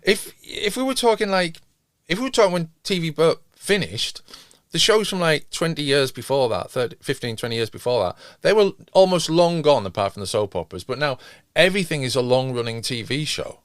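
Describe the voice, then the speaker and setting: A man's voice: fairly high pitch, lively intonation, a little fast, no room sound. Middle-aged British man, close dry sound. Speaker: podcast co-host. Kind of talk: casual chat about television.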